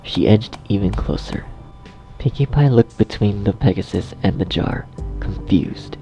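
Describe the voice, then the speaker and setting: A man's voice: lowish voice, unhurried, gravelly, dark tone. A man narrating a scripted horror story dramatically, as its storyteller. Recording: faint music behind the voice.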